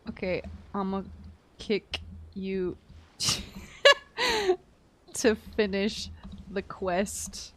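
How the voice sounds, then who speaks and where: A woman livestreaming a game, chatting casually in a playful, teasing, giggly and expressive way. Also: mid-range voice, very slow, long pauses. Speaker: livestream host. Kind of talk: casual game-stream chat.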